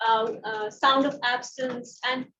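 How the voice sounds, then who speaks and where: A woman interviewer speaks conversationally and haltingly, searching for her words as she puts questions. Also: on the high side, steady tone. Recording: dull, echoey, distant, noisy pickup.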